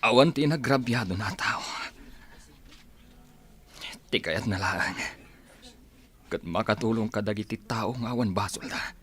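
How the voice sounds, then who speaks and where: Grieving, bitter young lawyer son. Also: male voice, lowish voice, a little slow, pauses often, fairly bright tone. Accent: Ilocano. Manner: sorrowful, bitter. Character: grieving male attorney, son of slain judge. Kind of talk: Ilocano radio drama acting.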